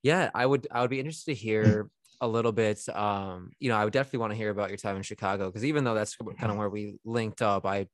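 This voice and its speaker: A man's voice: fairly low pitch, fast talker, no room sound. A young man hosting a podcast, chatting in a laid-back, slangy way full of fillers. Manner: laid-back, slangy, lots of likes and you knows. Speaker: podcast host. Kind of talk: casual conversational interview.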